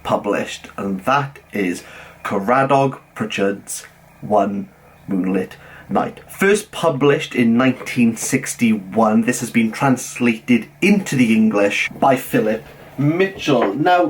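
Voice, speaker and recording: A young adult man with a Welsh accent, reviewing a novel in a measured, earnest way, dropping his voice low on titles. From Wales. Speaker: book reviewer. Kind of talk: scripted book review.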